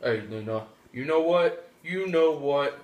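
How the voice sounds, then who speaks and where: A man's voice: fairly high pitch, expressive, a little slow. Man playing an exasperated young man, distant, echoey. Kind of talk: amateur puppet show skit. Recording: distant mic, echoey room, background noise.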